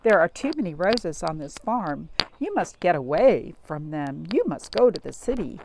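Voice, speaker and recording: A woman reads a children's picture book aloud in a slightly low voice. Her delivery is highly animated and expressive, aimed at young listeners with questions and gasps. There is some faint noise behind her. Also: moderate pace, vocal fry. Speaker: storytime reader.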